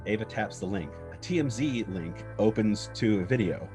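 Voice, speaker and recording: A man's voice: fairly low pitch, expressive, normal speed, a little mellow. A man reading screenplay stage directions as narrator, in short clipped scripted phrases. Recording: guitar music bed under the voice.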